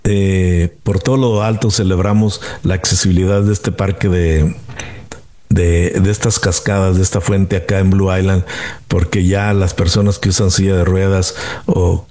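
A man's voice: low voice, level tone, moderate pace, a little mellow. A man hosting a conversational news commentary, indignant and sarcastic in manner. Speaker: host.

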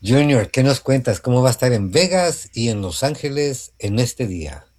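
Brief: male radio host, mid pitch, chatty studio delivery. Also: lively intonation, darkish tone. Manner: chatty, repeats phone numbers slowly. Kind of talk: morning radio talk and sponsor reads.

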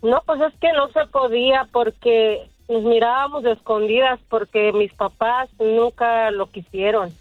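A woman's voice: on the high side, steady tone, measured pace, hardly any pauses, dry recording. A woman from Mexico calls into a radio show and tells her story plainly, with a few hesitations. Her phone line sounds dull and dry, and faint music plays in the background. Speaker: caller. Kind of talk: phone-in chat.

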